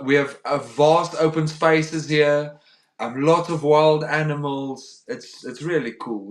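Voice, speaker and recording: A young man with an Afrikaans accent, hosting a relaxed livestream chat. His voice sits at a middle pitch for a man and rings in a roomy, echoey space. He stalls often, restarting his words and filling gaps with um. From South Africa. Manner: hesitant, repeats words, says um often. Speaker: livestream host. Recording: roomy echo.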